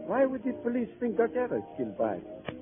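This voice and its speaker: A man's voice: very high voice, wildly swooping pitch, fast, full character voice. A man playing a deferential nightclub waiter, gossipy but guarded, in a 1940s radio drama. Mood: defensive. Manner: deferential, saying sir. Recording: tinny old radio recording, music behind.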